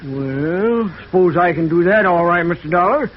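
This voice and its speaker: High-pitched, folksy theater doorman. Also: animated, normal speed. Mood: cagey, reluctant. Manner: hesitant, informal, drops word endings. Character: folksy, cagey male theater stage doorman. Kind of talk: 1950s radio drama acting. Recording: muffled old radio recording, faint noise.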